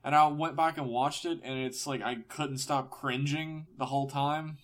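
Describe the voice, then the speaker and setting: A man's voice: medium pitch, steady tone, normal speed, a bit bright. Dry, sardonic man in his thirties. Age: early 30s. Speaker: podcast guest. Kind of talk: casual chat.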